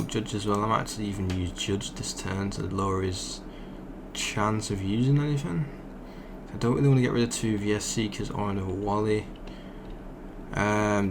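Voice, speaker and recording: A young man, croaky from just waking up, rambling casually as he commentates a card game he is playing. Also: lowish voice, noisy background. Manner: rambling, thinks aloud with fillers. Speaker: gaming channel host.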